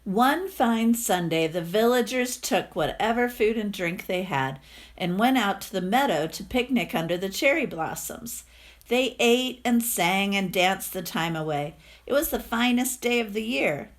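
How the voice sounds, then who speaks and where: A woman's voice: medium pitch, lively intonation, unhurried. A woman reading a storybook aloud to children, addressing her young listeners informally.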